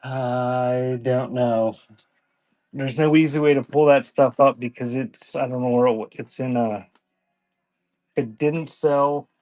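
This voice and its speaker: A man's voice: medium pitch, fairly even intonation, a little mellow, dry recording. Offhand man selling cassettes live. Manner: offhand, halting, thanks viewers by name. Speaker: live online seller. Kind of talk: casual live-stream selling.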